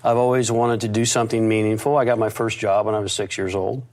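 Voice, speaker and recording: A man's voice: lowish voice, steady tone, fast talker, darkish tone. Confident middle-aged American man telling his story. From United States. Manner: confident and expansive, with some stuttered restarts. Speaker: interview guest. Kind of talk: reflective personal storytelling.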